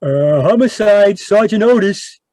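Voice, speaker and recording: An actor playing a sour police desk sergeant in an old-time radio detective play. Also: male voice, high-pitched, animated, unhurried, mellow, no room sound. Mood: unamused. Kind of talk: 1940s detective radio acting.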